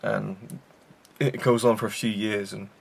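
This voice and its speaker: A man's voice: on the low side, little pitch movement, measured pace, gravelly, breathy edge. A man hosting a casual film review show, rambling with enthusiasm and many fillers and restarts.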